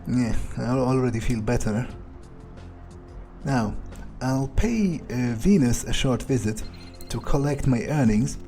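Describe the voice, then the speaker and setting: A man roleplaying a surly, foul-mouthed vampire during a game, musing haltingly to himself in character. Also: medium pitch, mellow, soft background music, close to an everyday voice. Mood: brooding, musing. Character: surly, foul-mouthed, self-serving male vampire, broodingly thoughtful. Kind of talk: in-character gameplay monologue.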